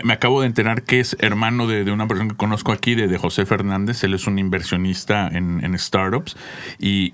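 A man co-hosting a podcast, asking questions in a casual, halting way with many restarts. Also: lowish voice, fairly bright tone. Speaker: podcast co-host.

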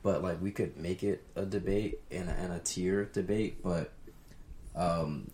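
A man's voice: low voice, steady tone, a little slow, warm tone. A young man on a casual podcast, pushing strong opinions while hedging with lots of "like". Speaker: podcast co-host. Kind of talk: casual chat. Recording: echoey room with faint noise.

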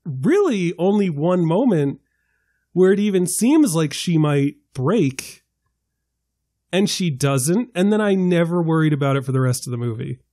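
A man's voice: a little high, measured pace, dry recording, clean studio sound. A man on a film podcast, animatedly arguing a minority opinion with examples. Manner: animated, argumentative, builds his case with examples. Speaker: podcast panelist. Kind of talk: casual film discussion.

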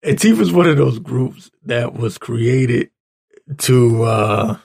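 A man delivers an informal, exasperated commentary in a hoarse, gravelly voice. His pace is slow and halting, with a medium pitch. He speaks bluntly, with a rasp throughout. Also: animated, a little mellow. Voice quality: raspy. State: hoarse, clearing his throat. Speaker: political commentator reading tweets and articles. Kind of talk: informal opinion rant.

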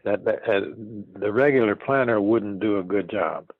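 A man in his eighties reminiscing in a casual interview, speaking in a folksy, halting way and repeating words and phrases as he goes. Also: lowish voice, phone-quality sound, slight background noise. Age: 80s. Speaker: interviewee, retired farm machinery dealer.

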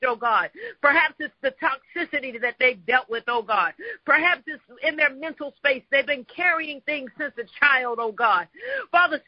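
A man with an extremely high voice leads an impassioned, improvised prayer. He declaims in repeated invocations and refrains, like a prayer leader carried along by fervor. The recording is dry and somewhat dull and lo-fi. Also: no room sound.

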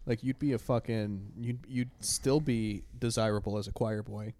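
A man's voice: on the low side, steady tone, moderate pace, darkish tone. A man teasing his friends with crude jokes in casual podcast banter. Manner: teasing, profane. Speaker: podcast co-host.

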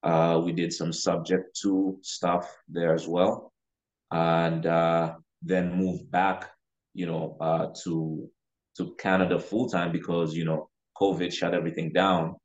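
A man's voice: low voice, slow, lo-fi sound. A young man from Uganda, a real estate investor, talking casually as a podcast guest with many fillers. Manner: relaxed, with frequent fillers like "you know" and "uh". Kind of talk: casual interview talk.

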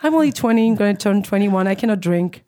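A woman's voice: medium pitch, animated, fast, mellow. A woman hosting a casual interview show, chatty and teasing, often saying "like" and "I mean". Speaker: talk show host.

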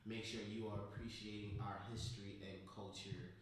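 An American man hosting a casual podcast, chatting and telling stories in slangy, informal talk, his voice slightly hoarse from recovering after losing it. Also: lowish voice, flat delivery, moderate pace. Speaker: podcast host. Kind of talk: casual podcast chat and storytelling. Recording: echoey room, distant mic, faint noise.

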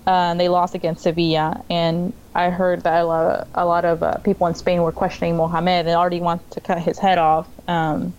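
A woman co-hosting a football podcast, giving a relaxed rundown of results with plenty of ums. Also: on the low side, muffled recording, a little hiss or hum. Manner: relaxed rundown with frequent ums and quick laughs. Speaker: podcast co-host. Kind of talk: casual football chat.

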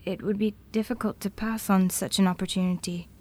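A woman's voice: medium pitch, steady tone, brisk, a little mellow, lightly acted. An actress playing an ambitious, conflicted, earnest career woman in a naturalistic audio drama.

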